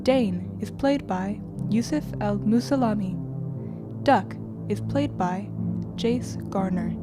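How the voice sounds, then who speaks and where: A woman playing a polite, formal AI guide in an audio drama, measured and courteous like a system announcer. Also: fairly high pitch.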